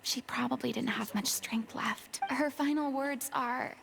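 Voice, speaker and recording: High-voiced, theatrical young investigator. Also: female voice, steady tone, measured pace, vocal fry, exaggerated voice. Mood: thoughtful, grave. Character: theatrical, commanding young woman investigator with a flair for drama. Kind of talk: video game voice acting. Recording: game dialogue with music behind.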